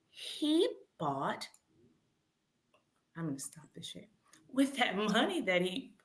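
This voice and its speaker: Animated woman talking slowly to children. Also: mid-range voice. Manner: animated, prompting with questions. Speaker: read-aloud host for children's camp. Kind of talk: slow, simple presenting to children.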